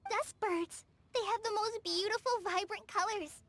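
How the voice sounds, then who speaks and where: A woman voicing an earnest, excitable young girl in a video game. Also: very high-pitched, moderate pace. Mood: earnest, wistful. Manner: excitable, earnest, wide-eyed. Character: cheerful young girl forest ranger apprentice from afar. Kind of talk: scripted game voice acting.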